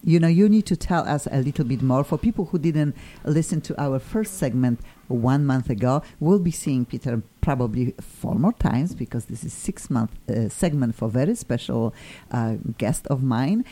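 A woman with a Polish accent hosting a live radio talk show, excitable and full of sudden pitch swings, with broken English phrasing. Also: normal speed. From Poland.

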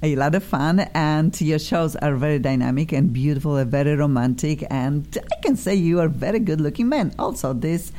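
A woman's voice: deep voice, animated, a little fast, hardly any pauses, mellow. Polish-accented woman hosting radio talk. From Poland. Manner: hesitant, many fillers, effusive. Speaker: radio show host. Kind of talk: live radio interview.

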